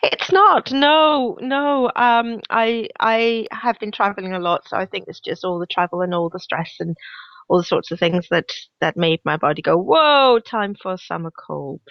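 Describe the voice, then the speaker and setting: A woman's voice: mid-range voice, normal speed, dry recording, highs cut off. A woman from Denmark with a Danish accent and a cold, chatting as an interview guest. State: has a cold. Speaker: guest, knitwear designer. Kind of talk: interview answers.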